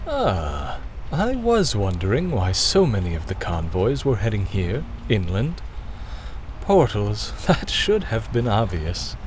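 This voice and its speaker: An actor playing a formal, reserved elven mage in a fantasy audio drama, courteous and somewhat hesitant. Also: male voice, fairly low pitch, huge pitch swings, slow, a little hiss or hum, full character voice. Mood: polite, warming.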